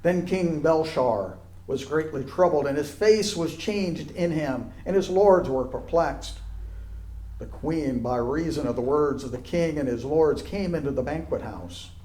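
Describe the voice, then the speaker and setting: A man reading scripture aloud in a solemn style, with deliberate, declamatory phrasing. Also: fairly high pitch, a little mellow. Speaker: scripture reader. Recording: echoey, roomy sound.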